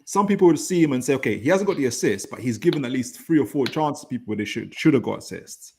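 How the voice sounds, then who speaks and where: Young man, rapid talker, lively pitch, combative, repeats to press points, video call. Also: a little hiss or hum. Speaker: football podcast panelist. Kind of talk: heated casual debate.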